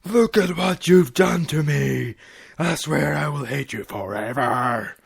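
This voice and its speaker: A man's voice: fairly high pitch, slow pace, creaky, slightly rough, voice pushed up, heavily acted. A man voicing an irritable, blunt female pony who is straining through labour in a read-aloud story. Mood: furious. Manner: strained, snappy, exclamatory.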